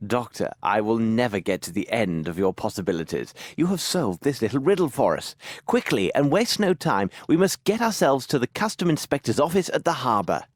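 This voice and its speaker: A husky-voiced English gentleman detective in a video game. He is formal, brisk and analytical, laying out deductions precisely, with lively rises and falls in pitch. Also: no room sound, studio quality. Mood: brisk, commanding. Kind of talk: video game cutscene acting.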